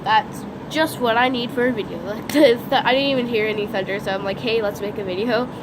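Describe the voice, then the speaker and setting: A woman's voice: fairly high pitch, fairly quick. Congested teen girl vlogging outdoors. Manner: rambling, lots of "like" fillers. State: congested, sniffly, sore throat. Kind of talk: unscripted rambling vlog. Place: outdoors next to a lake, during a lightning storm. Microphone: phone camera. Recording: outdoor phone recording with background noise. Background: outdoor background noise, thunder.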